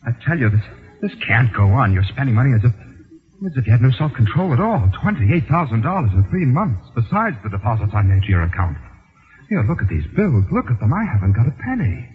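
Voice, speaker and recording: An actor playing an anxious, cornered impostor in an old-time radio drama, stammering and pleading. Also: male voice, mid-range voice, sing-song, brisk, lightly acted. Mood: exasperated. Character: anxious, cornered male impostor being blackmailed. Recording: tinny, narrow-band old radio recording.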